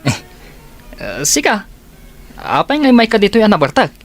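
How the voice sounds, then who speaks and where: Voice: medium pitch, huge pitch swings, moderate pace, faint music behind. An actor with an Ilocano accent playing an exasperated, scolding older village parent in a comedy radio drama.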